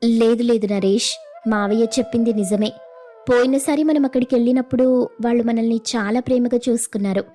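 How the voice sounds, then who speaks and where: A woman's voice: fairly high pitch, steady tone, a little fast, dark tone, faint music behind, natural voice. A woman voicing a firm, reproachful young woman of the household in a moral story cartoon. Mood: insistent.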